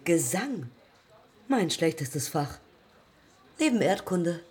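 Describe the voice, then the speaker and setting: A woman's voice: on the low side, very animated, slow, darkish tone, slightly rough, heavily acted. An actress playing an eager, headstrong young woman pilot of the 1920s in a radio play, telling her story reflectively in clipped fragments. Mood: wry. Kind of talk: radio play monologue and dialogue.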